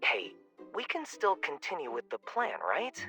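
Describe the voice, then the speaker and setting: A woman's voice: low voice, huge pitch swings, unhurried, sharp tone, soft background music, full character voice. An actress playing an upbeat, confident young female friend in a scripted video game scene. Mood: upbeat, conspiratorial.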